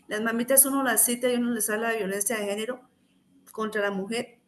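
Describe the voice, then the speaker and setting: A woman's voice: medium pitch, a bit bright, a little hiss or hum. A woman researcher speaking earnestly and explaining her points in a formal discussion.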